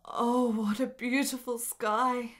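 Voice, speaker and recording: Actress as a high-voiced, melancholy, lovesick frail woman. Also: level tone, slow pace, noisy background. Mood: dreamy. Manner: earnest, melodramatic, formal literary phrasing. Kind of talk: radio drama acting.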